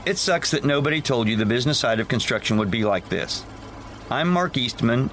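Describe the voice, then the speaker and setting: A man's voice: mid-range voice, a bit bright, slight background noise. An older man hosting a podcast, reading a scripted introduction and sign-off. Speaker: podcast host.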